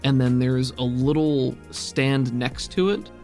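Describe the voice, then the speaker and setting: Male game master, gravelly fry in a mid-range voice, casual descriptive narration over faint music. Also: mid-range voice, steady tone, slow, darkish tone.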